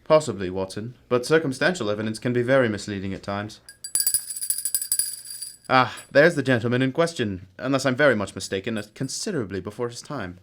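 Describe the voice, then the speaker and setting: A courteous gentleman detective in a radio mystery play, acted by a man, with a medium-pitched voice. He is composed and analytical, explaining things in formal, measured phrases. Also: lively intonation, slight background noise, lightly acted. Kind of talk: amateur radio drama acting.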